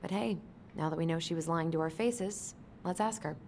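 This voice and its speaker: A woman's voice: fairly low pitch, moderate pace, a little mellow, slight background noise, lightly acted. An actress playing a skeptical, sardonic young woman in a naturalistic video game performance. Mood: sardonic, frustrated. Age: young adult.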